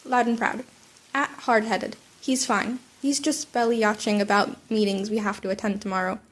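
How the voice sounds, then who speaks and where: A woman reading the lines of a loud, cheerful grown man with an upbeat, teasing manner. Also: mid-range voice, level tone, moderate pace, natural voice.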